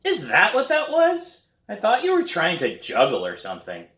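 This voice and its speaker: A man's voice: high voice, wildly swooping pitch, moderate pace, fairly bright tone, pitched above the performer's own voice, heavily acted. A man voicing a kid's mocking, dismissive brother in a children's book, incredulous and teasing. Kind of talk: acted dialogue in a children's book reading. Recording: roomy echo.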